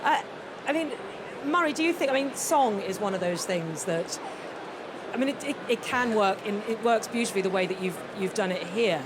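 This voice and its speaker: A woman's voice: mid-range voice, lively intonation, moderate pace. A woman presenting a live radio interview, chatty and enthusiastic in manner. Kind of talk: live broadcast interview and commentary. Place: large concert hall during the interval. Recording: clear crowd and venue noise.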